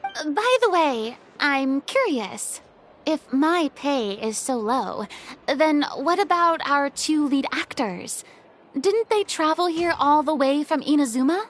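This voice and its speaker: Casting: sarcastic young game heroine, high-pitched, outspoken, given to grumbling. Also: female voice, expressive, takes it slowly, a little hiss or hum. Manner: sardonic, complaining. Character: outspoken young woman, grumbling film crew member, sarcastic. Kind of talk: video game voice acting.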